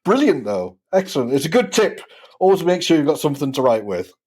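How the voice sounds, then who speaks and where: Husky male podcast host. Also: fairly high pitch, big pitch swings, quick pace, darkish tone. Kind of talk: casual interview chat.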